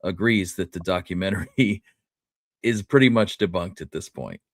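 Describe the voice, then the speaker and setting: Low-voiced, measured man, dry close sound. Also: dry recording. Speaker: podcast co-host. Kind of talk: casual history discussion.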